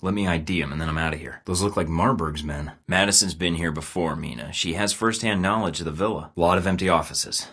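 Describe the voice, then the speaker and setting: A low-voiced male spy who sounds unshakable and tosses off dry, sarcastic quips between terse mission lines. He is the hero of an action game, speaking in the clipped, self-assured way of a field operative. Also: a little fast, hardly any pauses, fairly bright tone, breathy edge, exaggerated voice. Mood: wry. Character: wisecracking male secret agent, cool under pressure. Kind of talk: video game voice acting.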